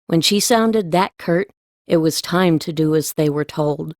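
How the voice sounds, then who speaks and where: A woman's voice: sits low, a little slow, no room sound, studio quality. A woman reading scripted storybook narration as an audiobook narrator.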